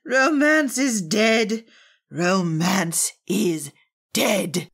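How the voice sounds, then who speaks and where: An actress playing a melancholy, heartbroken Catherine Wheel firework in an audio drama, sighing theatrically. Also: female voice, medium pitch, expressive, drawn-out words, fairly bright tone, husky, exaggerated voice. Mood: mournful, wistful.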